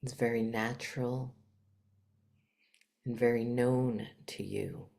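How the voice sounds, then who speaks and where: Very low, slow, creaky woman's meditation voice. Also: level tone. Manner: hushed, breathy delivery with long pauses between short phrases. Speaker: meditation teacher. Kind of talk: guided meditation, spiritual talk.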